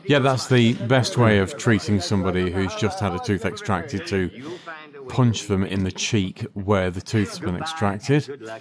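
A man's voice: lowish voice, a little slow, a crowd in the background. A man co-hosting a podcast, giving wry, opinionated commentary as an episode plays. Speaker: podcast co-host. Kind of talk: casual commentary while watching.

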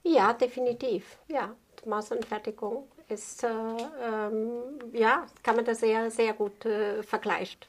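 A Portuguese woman in her fifties with a Portuguese accent, answering interview questions about her craft haltingly, searching for words in a language not native to her. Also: on the high side, fairly even intonation, slight background noise. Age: 50s. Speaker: tailor being interviewed.

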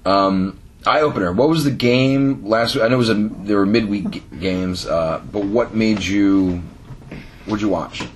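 A man's voice: on the low side, a little slow, a little hiss or hum. A man co-hosting a casual fantasy football podcast, playful and teasing, laughing easily. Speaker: podcast co-host.

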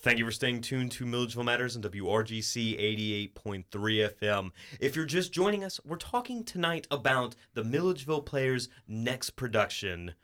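A man's voice: medium pitch, animated, moderate pace, hardly any pauses, fairly bright tone. A man hosting a local radio interview show, giving announcements from a studio.

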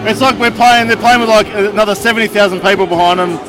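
An Australian man, a regular fan guest, talking outdoors in excited short bursts. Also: high-pitched, fast talker. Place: outdoors at a crowded bar. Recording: loud crowd and music behind.

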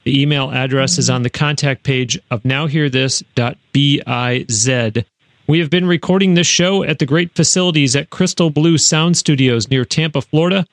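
A man hosting a podcast from a recording studio, reading a scripted sign-off with deliberate, clear enunciation. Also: medium pitch, no room sound. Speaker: podcast host. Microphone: close studio microphone.

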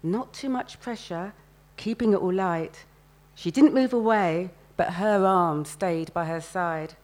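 A woman's voice: lowish voice, takes it slowly. Woman reading as a nervous love-struck London teenage boy. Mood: nervous, hopeful. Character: sixteen-year-old Hackney boy, love-struck and nervous.

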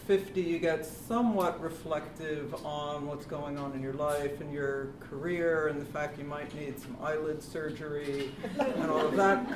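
A deep-voiced American woman in her fifties speaks in a self-deprecating, chatty way, with a fairly monotone delivery. She is heard from a distance in an echoey hall, with steady room noise underneath. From United States. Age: 50s. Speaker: panel speaker. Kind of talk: public talk at a live panel. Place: event hall with live audience.

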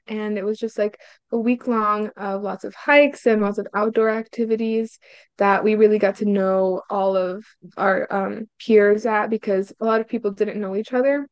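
A woman's voice: medium pitch, a little mellow, clean tone, no echo, lo-fi sound. A young woman from Hungary, a student guest answering casually and upbeat, with lots of "like" and "um.". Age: young adult. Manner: upbeat, frequent "like" and "um" fillers.

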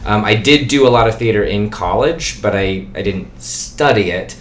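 A man's voice: fairly low pitch, normal speed. A man from Wyoming chatting casually as a podcast guest host, easygoing and trailing off. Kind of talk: casual chat. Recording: echoey room with faint noise.

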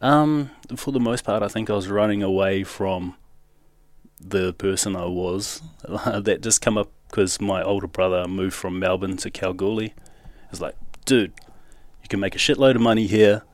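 A man's voice: low-pitched, moderate pace, no room sound. A young man from New Zealand with a Kiwi accent, candidly telling his life story as a podcast guest in a casual, slangy way with nervous laughs.

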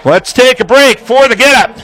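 A man with an American accent calling live football play-by-play on the radio in an animated, clipped way from a press booth. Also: very high-pitched, moderate pace, slight background noise, rough recording. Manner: animated, clipped phrasing, shouts on big plays.

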